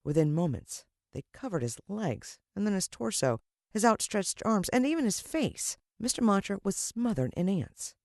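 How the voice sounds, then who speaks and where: A woman's voice: medium pitch, big pitch swings, normal speed, darkish tone, no echo, clean studio sound. A woman with an American accent reading a children's story expressively as an audiobook narrator.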